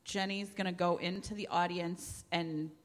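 A woman's voice: on the low side, fairly even intonation, moderate pace. A woman chatting casually to an audience as co-host of a live podcast. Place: indoor hall at a live podcast event. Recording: echoey hall, faint background noise.

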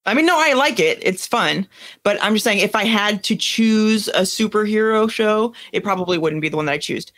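A woman's voice: medium pitch, animated, brisk, fairly bright tone. A woman co-hosting a TV review podcast, chatting casually. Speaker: podcast co-host reviewing TV series. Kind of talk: casual chat.

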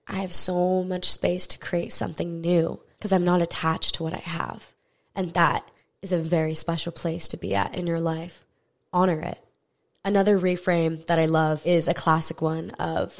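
A young woman with a stuffy nose hosting a solo podcast, talking candidly and earnestly, swearing casually as she goes. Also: fairly low pitch. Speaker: podcast host.

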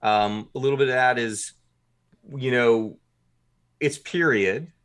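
A man's voice: medium pitch, takes it slowly, fairly bright tone, clean tone. A man talking as a guest in a podcast interview, explaining his production work in detail and acting out short examples.

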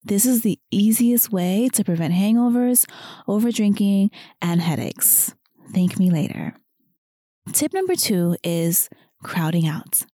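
A woman hosting her own weight loss podcast while losing her voice, talking softer than usual in an encouraging tone. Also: medium pitch, lively intonation, a little slow, dry recording, studio quality. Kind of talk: solo podcast talk.

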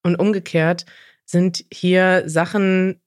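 A woman's voice: lowish voice, slow pace, no echo. Dry-sounding female podcast host. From Germany. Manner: clear, explanatory, lively. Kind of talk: casual podcast chat, explaining.

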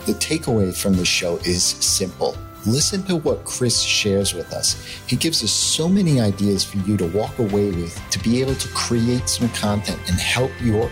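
A man's voice: lowish voice, animated, normal speed, hardly any pauses, music in the background. A man with a New York accent hosting a podcast, chatty, with plenty of "you know" fillers. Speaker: podcast host.

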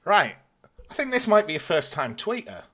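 British man, bright-voiced podcast banter. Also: sits high, huge pitch swings, normal speed. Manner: jokey, colloquial. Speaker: podcast host reading listener questions. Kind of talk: casual banter.

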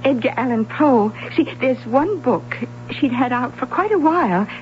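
An actress playing a hesitant, helpful and slightly puzzled library clerk in a radio drama, speaking thoughtfully. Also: female voice, high voice, animated, normal speed. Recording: muffled, noisy 1970s radio broadcast recording.

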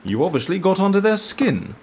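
A man playing a brisk, inquisitive and wry boss of hired toughs in an audio drama, teasing and animated. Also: high voice, background noise. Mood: curious, teasing.

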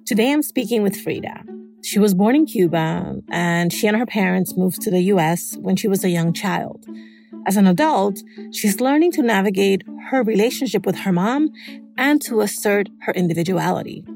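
A woman hosts a podcast, reading a calm, scripted introduction at a middle pitch. Her voice has a gravelly edge, and soft plucked guitar music plays faintly behind her. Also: moderate pace. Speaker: podcast host.

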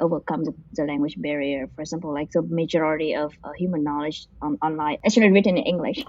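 A woman's voice: deep voice, mellow, husky, no echo. A woman from Vietnam, an interview guest working in machine learning, answering casually and hesitantly, with many fillers and restarts. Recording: dull muffled lo-fi audio.

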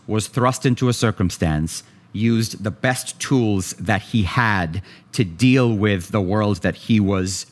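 A man giving commentary in an interview, speaking in his own words. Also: fairly low pitch.

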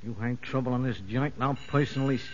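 An actor playing a tough, boastful, hot-tempered American gangster convict in 1950s radio drama, slangy and ungrammatical, on a muffled old broadcast. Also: male voice, mid-range voice, fairly even intonation, fast, a little hiss or hum, lightly acted. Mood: indignant.

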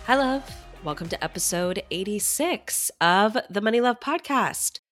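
A woman's voice: medium pitch, lively intonation, measured pace, fairly bright tone, soft background music. A woman money coach hosting a podcast, sounding stuffy from a head cold and talking with chatty enthusiasm to her listeners. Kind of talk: upbeat podcast intro monologue.

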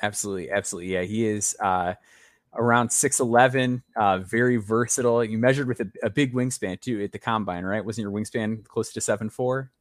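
A man's voice: fairly low pitch, brisk, dry recording. A young man hosting a basketball interview show, eager and talkative, often repeating words as he goes, over a video call. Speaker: podcast host.

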